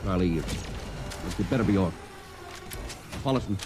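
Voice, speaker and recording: An American actor playing a gruff, quick-tempered male spaceship captain in a vintage radio drama. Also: deep voice, animated, over music. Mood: regretful, firm. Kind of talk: old-time radio drama acting.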